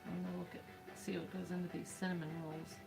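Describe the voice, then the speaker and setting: A woman's voice: on the low side, flat delivery, quick pace, gravelly. A woman in her thirties with a snuffly nose, chatting in a dry, teasing way. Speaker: co-host reading chat. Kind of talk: casual chat. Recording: stream with faint background music. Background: faint background music.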